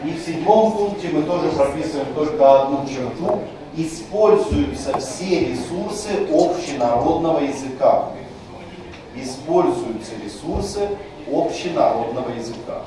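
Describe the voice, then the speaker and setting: A man's voice: fairly high pitch, lively intonation, measured pace, noisy background. Man, lecturer, repeats key terms, chatty asides, far from mic, strong room echo. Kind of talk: university lecture. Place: lecture hall.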